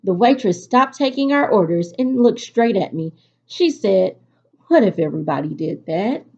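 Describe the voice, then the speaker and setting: A woman teacher reading aloud to students in an expressive, instructive storytelling delivery. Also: mid-range voice, normal speed, breathy edge. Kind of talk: picture-book read-aloud for a virtual class. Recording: dull, lo-fi recording.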